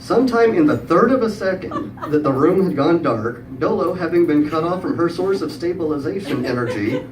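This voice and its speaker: A middle-aged man tells a humorous story live, in a prepared style with wry, dry wit and comic pauses. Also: on the high side, animated. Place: live event room with audience. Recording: echoey room, distant mic, clear background noise.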